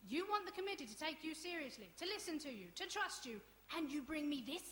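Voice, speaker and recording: A woman acting as an earnest youth centre character in a radio play, with a high, bright voice. She reasons firmly and reproachfully, her pitch moving a lot. The hall echoes around her. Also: lively intonation, normal speed, a little hiss or hum. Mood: stern, disappointed. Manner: earnest, remonstrating. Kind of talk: live radio play performance. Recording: echoey hall, live performance.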